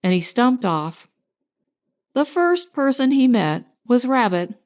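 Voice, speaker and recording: A woman reads a children's story as an audiobook narrator. Her voice is fairly high and she takes the scripted lines at a very unhurried pace. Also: expressive, darkish tone.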